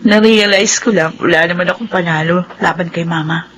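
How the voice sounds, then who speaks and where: A young Filipino woman played by a radio actress, scheming behind a coy, sweet front. She draws out her phrases and slips into breathy plotting asides in a radio drama, with faint ambient noise behind her. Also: lowish voice, a little fast, fairly bright tone, lightly acted, rough recording.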